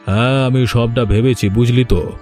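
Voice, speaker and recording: A man's voice: mid-range voice, fairly even intonation, moderate pace, darkish tone, soft background music, close to an everyday voice. A man playing a scheming, domineering older village landlord in a cartoon, with a commanding, condescending manner. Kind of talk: Bengali cartoon voice acting.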